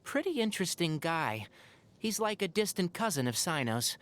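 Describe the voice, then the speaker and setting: A man's voice: on the high side, sing-song, normal speed, fairly bright tone. A man voicing an earnest, wry young forest ranger scholar in a video game, speaking in an articulate, thoughtful, caring way. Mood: friendly, earnest. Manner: articulate, thoughtful, dryly opinionated. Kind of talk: scripted game character monologue.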